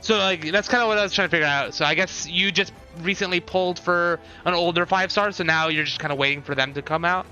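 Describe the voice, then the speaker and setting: A man's voice: high-pitched, fast talker, hardly any pauses, sharp tone, muffled recording, soft background music. A man in casual live stream chat, thinking aloud and talking with his viewers as he reacts.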